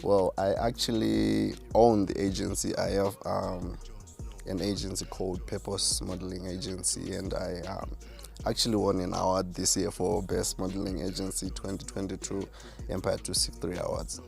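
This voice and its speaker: A man with a deep voice, a self-assured artist answering interview questions. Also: little pitch movement, moderate pace, vocal fry, a little mellow, faint music behind. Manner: self-assured, boastful about his skill. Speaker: rapper and artist, guest.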